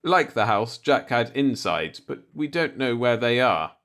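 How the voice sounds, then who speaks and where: A man's voice: mid-range voice, sing-song, unhurried, fairly bright tone. A man reading a light-hearted short story aloud as an audiobook narrator, in a scripted storytelling style.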